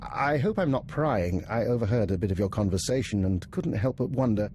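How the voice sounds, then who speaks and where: A man playing a polite, inquisitive intelligence analyst in a video game, formal, measured and subtly suspicious. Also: fairly low pitch, expressive, a little mellow, slight background noise. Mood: curious. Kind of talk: video game dialogue acting.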